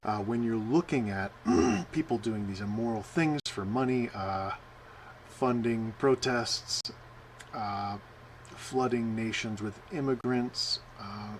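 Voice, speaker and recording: Rambling low-voiced male preacher. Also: slow, slight background noise, low-quality recording. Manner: rambling, many fillers, asks "right?" often. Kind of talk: informal sermon.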